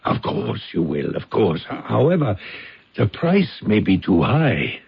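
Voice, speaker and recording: An actor playing a grandiose, smooth-talking elderly bachelor count in old-time radio drama, coaxing in a florid, paternal way. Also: male voice, fairly low pitch, very animated, a little slow, full character voice. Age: older. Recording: dull lo-fi radio recording.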